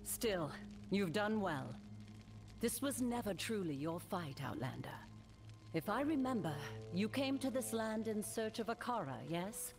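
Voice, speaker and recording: A woman's voice: mid-range voice, animated, unhurried, music in the background. A woman playing a proud, commanding rogue captain in a video game. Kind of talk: video game character acting.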